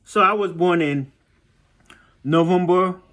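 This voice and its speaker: Halting man telling his story. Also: high-pitched, fairly bright tone. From Haiti. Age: 30s. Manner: halting, reflective, searching for words. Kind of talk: informal personal storytelling.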